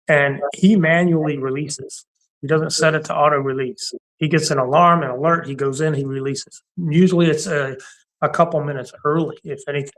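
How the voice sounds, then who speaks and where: A man's voice: fairly high pitch, a bit bright, rough recording. A middle-aged man and Army veteran who co-hosts a casual conversation, making his points bluntly and repeating them for emphasis, with frequent laughs. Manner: laughs often between points. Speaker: co-host; Army warrant officer veteran.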